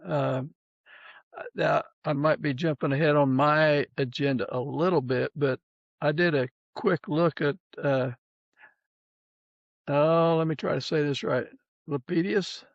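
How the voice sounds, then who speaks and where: A man hosting a podcast and interviewing casually, informal and unhurried, on a slightly dull recording. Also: a little high, fairly even intonation, creaky, no echo. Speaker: podcast host.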